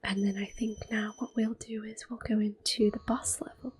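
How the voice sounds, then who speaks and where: Soft-spoken young woman whispering close. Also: medium pitch, normal speed, husky. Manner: calm and chatty, with gentle fillers. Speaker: ASMR gaming host. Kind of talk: ASMR gameplay commentary. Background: faint video game music.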